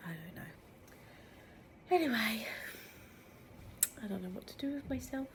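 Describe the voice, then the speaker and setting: A woman's voice: fairly high pitch, lively intonation, measured pace, heavy background noise. A woman, still under the weather with a cold, chatting casually to her camera and thinking aloud with ums. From United Kingdom. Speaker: self-employed nail tech vlogger. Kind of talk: casual vlog chat to camera.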